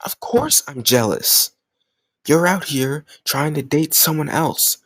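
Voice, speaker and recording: A man's voice: a little high, expressive, slow, husky, dry recording, full character voice. A man playing a jealous, stubborn young lover in an intimate romantic audio roleplay, speaking emotionally and insistently in casual language. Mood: jealous, indignant. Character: jealous, stubborn young male lover confessing his love.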